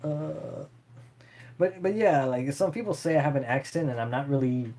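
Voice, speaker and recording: A man's voice: a little high, moderate pace, mellow. A man chatting casually and unscripted as a streamer answering viewer questions, in a rambling, hesitant way.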